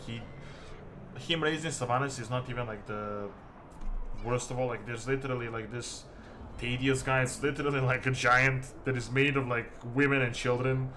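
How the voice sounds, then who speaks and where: A man from Serbia, chatting casually to viewers as a game streamer in a halting, rambling way peppered with "like". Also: medium pitch. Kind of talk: casual livestream chat with viewers. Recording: music behind the voice. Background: music.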